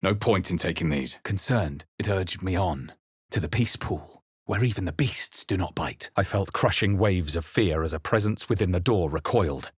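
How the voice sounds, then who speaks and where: A battle-hardened hero of a fantasy video game, brooding and measured. The voice is fairly low and husky, recorded very dry and close. The delivery is dramatic, like a man who has seen many fights. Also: expressive, dry recording. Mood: grave, intent. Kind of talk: dramatic fantasy game voice lines and first-person narration.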